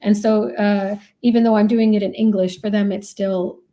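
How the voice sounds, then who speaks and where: A woman's voice: mid-range voice, little pitch movement, moderate pace, mellow. A woman from Iraq, an author being interviewed, giving thoughtful, reflective answers in a conversational way. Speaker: author and professor, interview guest.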